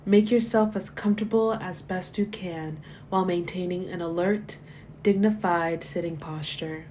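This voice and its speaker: A woman guiding a body scan meditation as scripted narration, giving gentle, measured, soothing instructions that soften at the ends of phrases. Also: on the low side, steady tone, a little hiss or hum. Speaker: meditation guide.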